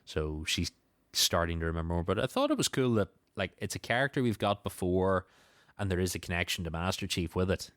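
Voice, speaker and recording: A man's voice: low-pitched, sing-song, brisk, a bit bright, no echo, clean studio sound. A man hosting a podcast in a casual, conversational style. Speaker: podcast host.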